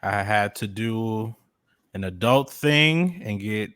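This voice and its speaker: Young man, bright voice, very lively pitch, jokey podcast chat, dry sound. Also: slow pace, clear, resonant tone, no echo. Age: young adult. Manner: breezy, jokey, breaks into sung scat bits. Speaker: podcast co-host. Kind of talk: casual chat.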